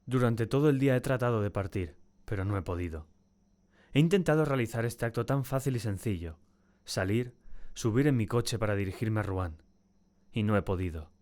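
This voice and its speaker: A man's voice: lowish voice, animated, darkish tone, close to an everyday voice. Solemn, tormented male diarist voiced by an actor. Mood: anguished, subdued. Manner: solemn, deliberate, theatrical. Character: tormented, terrified man confiding in his diary. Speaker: audiobook narrator and actor. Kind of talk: dramatic first-person audiobook narration.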